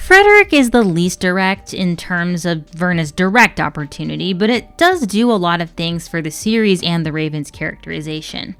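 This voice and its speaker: A woman's voice: lowish voice, a bit bright, clean tone. Woman narrating an analytical video essay.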